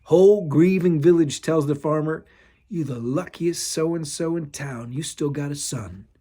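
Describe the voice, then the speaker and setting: A man's voice: fairly high pitch, measured pace, warm tone, breathy edge. A man doing a folksy young soldier. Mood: storytelling. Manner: drawling, folksy.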